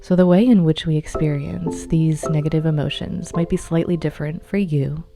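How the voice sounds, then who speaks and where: A woman narrating a guided meditation in a calm, measured, instructional manner. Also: deep voice, vocal fry. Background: meditation music.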